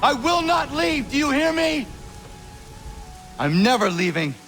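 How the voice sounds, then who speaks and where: A man's voice: very high-pitched, very animated, measured pace, a bit bright, faint music behind, exaggerated voice. An actor playing a stubborn, earnest young man on a quest in a video game, speaking bluntly and with determination. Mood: fiercely defiant. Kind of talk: video game cutscene acting.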